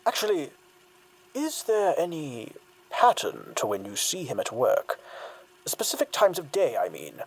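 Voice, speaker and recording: A woman performs a soothing therapist who hides a sinister streak. The voice sits extremely low and husky, with lively swings of pitch. It coaxes and probes with false reassurance, in audio drama style. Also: full character voice, poor audio. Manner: coaxing, falsely reassuring, probing. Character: outwardly soothing, secretly sinister therapist doctor.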